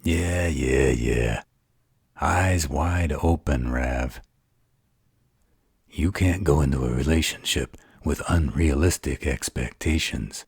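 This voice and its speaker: A man's voice: a bass voice, takes it slowly, vocal fry, slightly rough, no echo, studio quality, full character voice. A man playing a snarky, distrustful supernatural fighter in an audio roleplay, with a mocking drawl. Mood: teasing.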